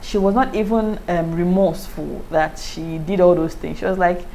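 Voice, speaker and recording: A woman with a Nigerian accent, chatting casually to her viewers in a lively way and often breaking into laughter. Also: lowish voice, normal speed, creaky, slight background noise, rough recording. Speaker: YouTuber. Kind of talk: casual review chat to camera.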